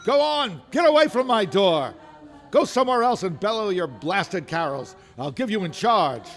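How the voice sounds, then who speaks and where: A grim old miser in an old-time radio drama. The voice is creaky and fairly high, swinging widely as he barks and grumbles with scorn. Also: sing-song, moderate pace, soft background music. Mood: outraged. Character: grim, miserly, bad-tempered old businessman.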